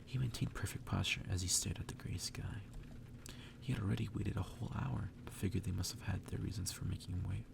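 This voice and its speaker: A man softly reading a story aloud as ASMR, often breathy and half-whispered, with a gravelly fry. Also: sits low, fairly even intonation, fast talker, noisy background. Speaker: ASMR reader. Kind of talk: soft ASMR reading of a visual novel. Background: music.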